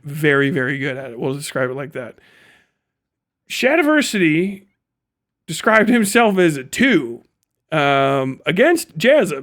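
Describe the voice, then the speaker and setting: A man's voice: on the high side, a bit bright. A man giving casual, opinionated livestream commentary in a blunt, profane, conversational way. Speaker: streamer and commentator.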